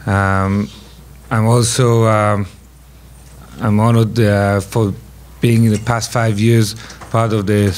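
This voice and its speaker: A man in his forties with an Israeli accent, speaking haltingly on a conference panel. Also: fairly low pitch, a little mellow, slight background noise. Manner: halting, many fillers. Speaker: panelist, investor. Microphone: stand mic.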